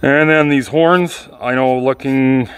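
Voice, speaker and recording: A man's voice: medium pitch, fairly even intonation, slow, clean tone. A man giving casual hands-on video commentary, informal and rambling as he thinks aloud. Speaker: vlogger restoring old speakers.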